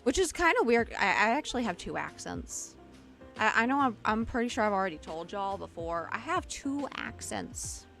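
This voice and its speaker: A woman's voice: a little high, sing-song, normal speed, gravelly, a bit bright, soft background music. A woman with a mixed Southern and Midwestern accent, chatting casually as she walks through a livestream tutorial, often restarting her sentences. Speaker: Live2D rigging streamer.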